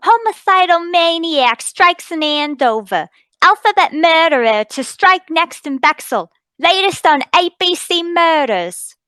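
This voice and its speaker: A woman's voice: very high voice, expressive, measured pace, pushed and bright, no room sound, voice pushed up, heavily acted. A woman voicing an eager young street newspaper seller calling out headlines in a radio drama. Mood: eager, urgent. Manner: declaiming, singsong street cry.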